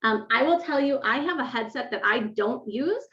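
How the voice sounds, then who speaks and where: A woman with a loud voice presenting a webinar on course design, explaining step by step with fillers, on a desk microphone in a home office. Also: high voice, expressive, moderate pace, roomy sound. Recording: dull lo-fi webinar audio.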